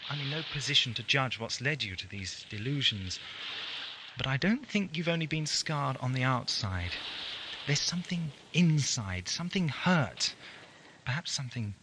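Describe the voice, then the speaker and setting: A man's voice: medium pitch, sing-song, normal speed, background noise. A man playing a compassionate, wry time-travelling alien adventurer in an audio drama, reasoning earnestly. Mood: gentle, sympathetic. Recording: music behind, 1980s amateur audio drama.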